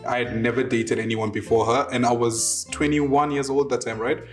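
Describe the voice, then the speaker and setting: Young man in his twenties, playful and animated storyteller, faint guitar behind. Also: medium pitch, normal speed. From Zimbabwe and South Africa. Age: 20s. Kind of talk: casual interview storytelling.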